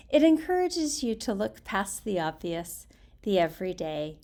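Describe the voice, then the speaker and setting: A woman storyteller reading aloud in an expressive, theatrical way, with deliberate phrasing and hushed emphasis. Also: medium pitch, gravelly.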